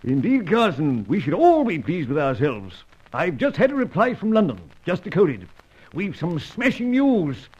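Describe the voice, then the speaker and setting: A hearty, jovial British official. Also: male voice, high voice, big pitch swings, normal speed, a little mellow, husky, full character voice. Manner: hearty, chuckling, upper-class British. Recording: dull old radio recording.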